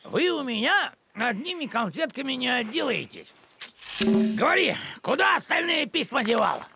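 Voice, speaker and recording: A man voicing a suspicious, cranky middle-aged village postman in a cartoon, accusing and blustering in a creaky voice. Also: very high-pitched, very animated, moderate pace, bright, forceful tone, heavily acted. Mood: indignant. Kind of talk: animated cartoon dialogue. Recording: music behind the voice.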